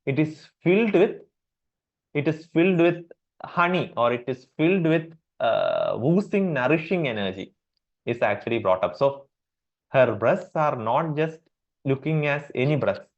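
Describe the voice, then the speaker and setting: A man with an Indian accent teaching a class on Sanskrit verses, repeating each term slowly with many fillers. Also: fairly high pitch, big pitch swings, lo-fi sound. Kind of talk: explanatory class lecture.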